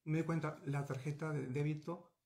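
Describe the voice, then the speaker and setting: Un hombre de unos cuarenta años, de México, cuenta por videollamada desde un pequeño despacho una historia personal, de forma animada, gesticulando y con muchos titubeos y frases reiniciadas. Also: male voice, a little high, moderate pace. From Mexico. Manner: animated, gesturing, many restarts and fillers. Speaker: interviewee. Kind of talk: recounting a personal story. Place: small home office with bookshelves. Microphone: video call webcam.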